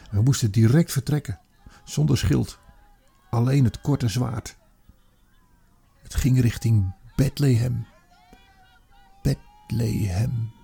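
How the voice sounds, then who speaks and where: Guy acting a haunted old roman soldier telling his story, halting and theatrical, radio play style. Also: medium pitch, vocal fry, dark tone, slightly rough, full character voice. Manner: theatrical, halting, reflective, with emphatic repeated words. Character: old Roman army commander, haunted, looking back on atrocity. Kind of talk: dramatic radio play monologue.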